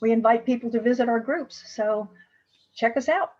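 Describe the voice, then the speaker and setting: A woman giving a short, halting business pitch on a video call. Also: a little mellow, highs cut off. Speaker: small business coach pitching.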